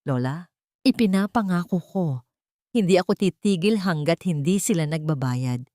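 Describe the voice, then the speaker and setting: A woman's voice: fairly low pitch, lively intonation, normal speed, mellow, no echo, studio quality, lightly acted. A woman voicing a grieving, bitter young woman in an audio drama, solemn, wounded and resolute. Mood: bitter, vowing.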